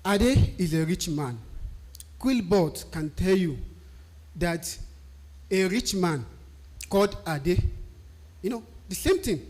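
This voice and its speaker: A man's voice: sits high, lively intonation, slow pace, pauses often, darkish tone. A man from Nigeria with a Nigerian accent, not a native English speaker, giving a live-stream talk that explains technical writing and often asks and answers his own questions. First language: a Nigerian language.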